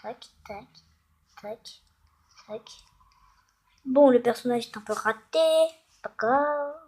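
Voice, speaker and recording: A woman hosting a casual, improvised tutorial, hesitant and halting as she thinks aloud while demonstrating. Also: a little high, darkish tone, breathy edge. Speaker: tutorial host.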